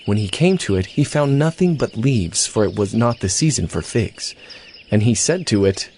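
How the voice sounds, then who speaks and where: A man narrating a dramatized scripture reading in a steady, even way. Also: fairly low pitch, moderate pace, a little hiss or hum. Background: music.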